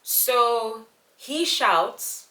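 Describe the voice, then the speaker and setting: A young woman from Zimbabwe, telling a personal story to camera in an earnest, unhurried way. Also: fairly high pitch, expressive, bright, forceful tone. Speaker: vlogger. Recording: echoey room.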